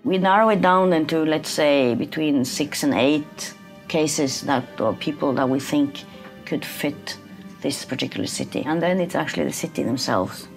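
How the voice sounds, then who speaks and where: A woman's voice: deep voice, normal speed, faint music behind. A woman coordinating a refuge network, talking plainly in a documentary interview with frequent hesitations.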